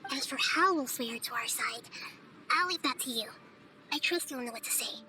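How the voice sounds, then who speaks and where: A woman voicing a small, girlish goddess in a game cutscene, light and youthful, explaining things in a calm, thoughtful way. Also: high voice, normal speed, slight background noise. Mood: gentle resolve. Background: music.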